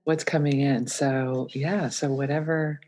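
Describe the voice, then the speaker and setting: A woman hosting a podcast interview, speaking softly and gently. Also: extremely low pitch, fairly even intonation, unhurried. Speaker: podcast host.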